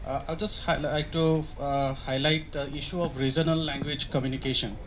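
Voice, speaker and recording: A man's voice: fairly high pitch, fairly even intonation, moderate pace, noisy background. A man from Assam in India, beginning a question from the audience with some hesitation. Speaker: audience member.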